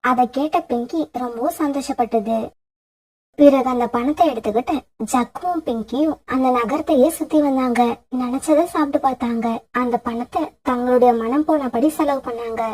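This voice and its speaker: High-pitched woman narrating a children's story. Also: moderate pace, darkish tone, husky.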